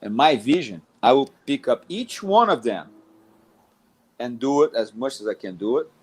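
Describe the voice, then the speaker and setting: Man, brazilian accent, middle-aged, mid-pitched voice, casual rambling talk, frequent restarts. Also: very animated, normal speed, breathy edge, rough recording. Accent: Brazilian Portuguese. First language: Portuguese. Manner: rambling, restarts often, says "man" a lot. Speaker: jiu-jitsu instructor. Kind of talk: casual talk about teaching.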